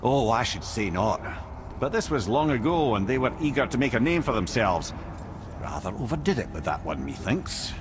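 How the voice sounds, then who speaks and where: A man plays a learned, talkative old storyteller in a video game. The delivery is animated and theatrical, with archaic turns of phrase. Faint ambience sits behind the voice. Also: medium pitch, moderate pace, background noise, close to an everyday voice. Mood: wry, amused.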